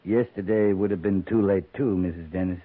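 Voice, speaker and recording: An actor playing a firm, plain-spoken cavalry captain, stern but compassionate, terse and measured in a 1950s radio Western. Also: male voice, deep voice, steady tone. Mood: grave, gentle. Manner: terse, measured, matter-of-fact. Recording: noisy, narrow-band 1950s radio broadcast.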